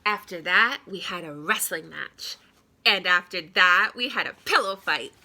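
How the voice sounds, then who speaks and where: A woman reading a children's story aloud like a teacher, deliberate and expressive, with dramatic pauses. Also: on the low side.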